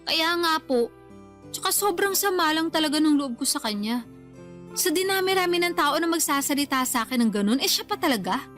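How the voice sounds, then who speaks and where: A high, youthful female voice plays an aggrieved daughter in a radio drama. She is whiny and defensive but stays respectful. Soft music runs under her lines. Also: expressive, brisk. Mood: hurt, sulky. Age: young adult. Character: aggrieved grown daughter sulking over a lovers' quarrel.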